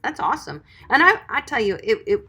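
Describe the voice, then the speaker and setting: A woman's voice: a little high, sing-song, quick pace, bright, forceful tone, husky, soft background music. A woman dog groomer chatting on a livestream, reading viewer comments and giving friendly, encouraging advice. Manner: chatty, encouraging, calls viewers sweetie and guys.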